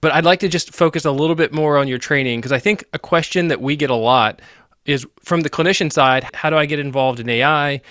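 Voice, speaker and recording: A man's voice: on the high side, a little fast, fairly bright tone, no room sound, studio quality. A man hosting an interview podcast, asking long, framed questions in a friendly, teasing way. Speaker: podcast host. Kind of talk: conversational interviewing.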